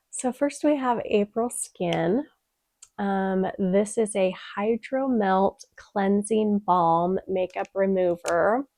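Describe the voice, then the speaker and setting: A woman's voice: medium pitch, vocal fry, no echo. A young woman vlogger with a stuffed-up, nasal voice from a cold, chatting casually through a product review with many "um"s. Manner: chatty, frequent "um" fillers, soft-spoken. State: congested, stuffed-up voice. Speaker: beauty vlogger.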